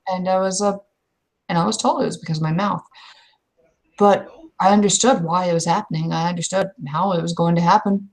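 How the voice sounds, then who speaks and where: A woman playing a stubborn member of a werewolf clan in improvised roleplay, pushing back in a halting, contrary way. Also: fairly low pitch. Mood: hesitant, matter-of-fact. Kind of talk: improvised in-character roleplay dialogue.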